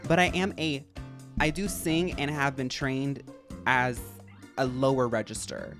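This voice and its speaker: A man with a high, feminine-sounding voice, chatting casually and rambling to his live-stream audience while he plays. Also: fairly even intonation, measured pace, music in the background. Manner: rambling, chatty, addresses chat as y'all. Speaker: game streamer.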